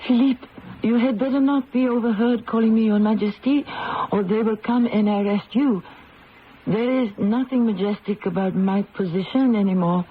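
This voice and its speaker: A woman's voice: a little high, normal speed, slight background noise, close to an everyday voice. An actress playing a proud, weary, sorrowful condemned French queen in a theatrical old-time radio drama style. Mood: resigned, gentle. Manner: theatrical, imperious, emotional. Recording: dull lo-fi radio, music behind.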